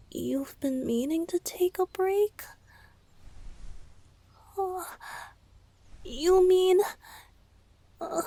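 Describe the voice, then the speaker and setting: A woman voicing a tender, playful, lovesick young male bard spirit in a romantic ASMR roleplay, speaking in a breathy, whispery, intimate way. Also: very high-pitched, darkish tone, no room sound, heavily acted. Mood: flustered, excited. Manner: tender, intimate, slow and hesitant.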